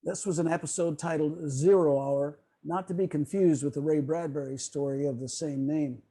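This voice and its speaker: A man giving a prepared, explanatory lecture in a measured way on a video call. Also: a little high, fairly even intonation, mellow. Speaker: presenter.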